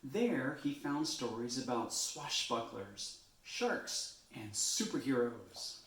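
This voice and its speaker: A man reading a children's storybook aloud in an expressive way, stretching words for emphasis. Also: medium pitch, echoey room, rough recording. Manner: expressive, drawn-out phrasing with emphasis.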